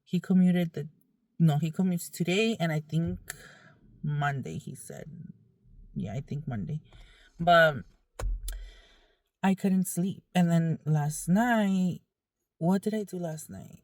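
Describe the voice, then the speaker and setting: A woman's voice: on the low side, slow, pauses often, a little mellow, no echo, studio quality. A woman vlogger talking casually to her viewers in a candid, rambling, conversational way.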